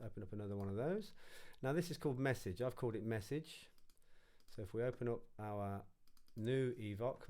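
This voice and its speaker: A man giving an informal, step-by-step music software tutorial, thinking aloud as he instructs. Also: mid-range voice.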